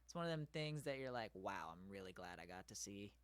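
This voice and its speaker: A man's voice: lowish voice, expressive, a little fast, clean tone, dry recording. A young man hosting an interview, asking questions and chatting loosely with frequent "like" and "I mean". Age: young adult. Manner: chatty, uses "like" often. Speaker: interviewer, host. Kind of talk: casual interview conversation.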